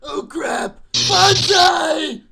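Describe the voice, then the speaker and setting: A man voicing a brash, stubborn young tough guy in a comedic anime parody dub, loud and whiny as he yells. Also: very high voice, very slow, creaky, heavy background noise, full character voice. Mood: frantic.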